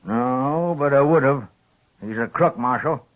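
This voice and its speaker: Actor as an old male rancher, medium pitch, folksy, blunt, plain-spoken, dull vintage radio sound. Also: unhurried, natural voice. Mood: defiant. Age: older. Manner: folksy rural phrasing. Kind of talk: old-time radio western acting. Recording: dull lo-fi 1950s radio recording.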